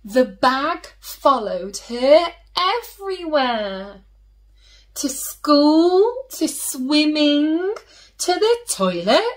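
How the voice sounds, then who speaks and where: A woman reads a children's picture book with a very animated, slightly high voice. She takes her time, stretching important words and slipping into hushed little asides like a teacher at story time. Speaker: teacher reading children's stories, host. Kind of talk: expressive picture-book read-aloud for children.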